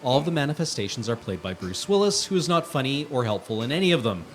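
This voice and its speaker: A man's voice: medium pitch, big pitch swings, fast, a little hiss or hum. A man giving an emphatic, exasperated dramatic reading of a written review. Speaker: guest reader on a charity livestream.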